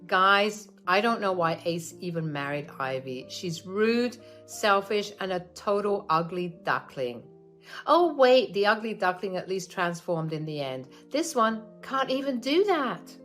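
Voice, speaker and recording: Woman doing a spiteful mother-in-law, shrill, scornful, entitled, loud and high-pitched, storybook telling. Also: lively intonation, a little slow, soft background music. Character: spiteful greedy middle-aged mother-in-law.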